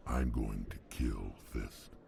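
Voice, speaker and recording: An actor voicing a hulking, menacing alien bounty hunter in a video game, blunt and gravelly. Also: male voice, rumbling low, takes it slowly, warm tone, a little hiss or hum, full character voice.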